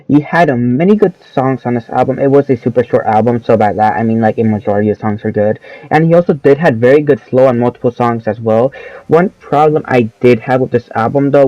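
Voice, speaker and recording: An eighteen-year-old man reviewing albums in casual, rambling speech with slang, often correcting himself, his voice a little lowered as he comes down with a cold. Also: no room sound, dull audio. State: voice lowered from oncoming sickness. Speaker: album review channel host.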